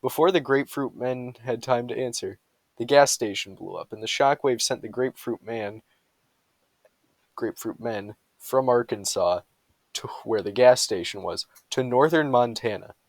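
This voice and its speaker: A man's voice: medium pitch, normal speed, dry recording. A man reading humorous bedtime stories aloud with a mock-serious storytelling delivery.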